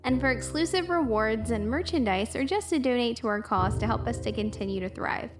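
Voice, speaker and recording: Woman doing a podcast promo read, kinda high voice, music under it. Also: lively intonation, brisk. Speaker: show announcer. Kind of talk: scripted podcast promotional announcement.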